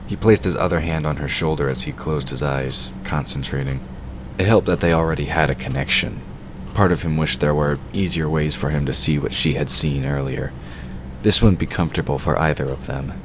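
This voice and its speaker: Super deep voiced guy narrating a fantasy audio drama story, scripted read, noisy recording. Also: brisk.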